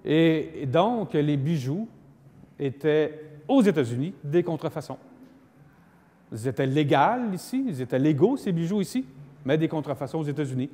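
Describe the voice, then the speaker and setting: A man's voice: fairly high pitch, animated, moderate pace, dark tone. Quebec man lecturing in an echoing hall. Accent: Quebec French. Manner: didactic, acts out dialogue, emphatic repetition. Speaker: lawyer giving a lecture. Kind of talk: public lecture on law with spoken examples. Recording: long echoing hall reverb.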